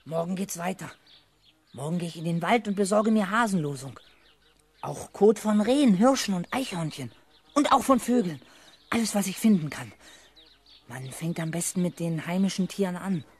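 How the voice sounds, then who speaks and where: An actor playing an earnest, precocious young schoolboy in a 1970s children's radio play, matter-of-fact and self-assured. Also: male voice, sits high, lively intonation, moderate pace, husky. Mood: eager, scheming. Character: earnest, inventive young schoolboy with big plans.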